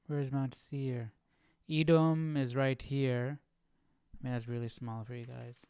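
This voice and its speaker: A man's voice: medium pitch, steady tone, unhurried, warm tone, clear, resonant tone, no echo. A man hosting an informal Bible study livestream, chatting casually and thinking aloud as he goes.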